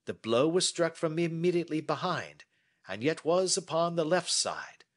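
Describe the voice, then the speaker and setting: A man's voice: on the high side, sing-song, moderate pace, pitched above the performer's own voice. A man reading as a brilliant, self-assured Victorian detective who explains his deductions precisely. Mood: reasoning.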